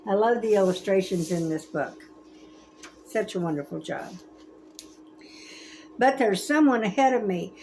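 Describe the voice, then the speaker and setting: A woman in her seventies reading a children's story aloud in a gentle, expressive, grandmotherly way. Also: mid-range voice, unhurried, pauses often, a little hiss or hum, low-quality recording. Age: 70s. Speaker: storybook reader.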